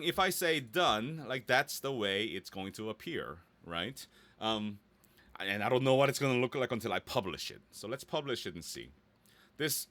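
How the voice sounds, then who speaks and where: A man's voice: lowish voice, sharp tone. A man leading a casual screen-share tutorial in a playful, chatty way, tossing in silly interjections, recorded on a close studio microphone. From Korea or Korean heritage, based in Los Angeles. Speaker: voice actor leading a website tutorial. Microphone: close studio microphone in a dry home studio.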